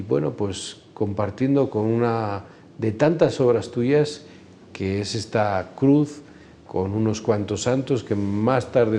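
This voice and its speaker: Priest guy hosting a faith show, calm and welcoming, dark mellow tone. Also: lowish voice, a little hiss or hum. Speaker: priest and host. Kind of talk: scripted-sounding show opening, then interviewing.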